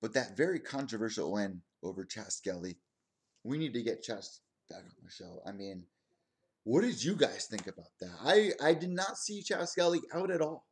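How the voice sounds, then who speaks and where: A young man in his twenties runs a solo stream, chatting straight to his audience. He rambles excitably from one aside to the next and keeps circling back to his point. His voice sits at an ordinary middle pitch for a man. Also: normal speed. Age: 20s. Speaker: podcast host. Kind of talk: casual solo stream commentary.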